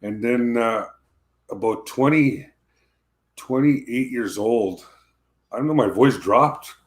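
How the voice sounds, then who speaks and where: A man with a deep voice, a country singer talking casually about his music over a video call, often restarting and repeating words. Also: takes it slowly.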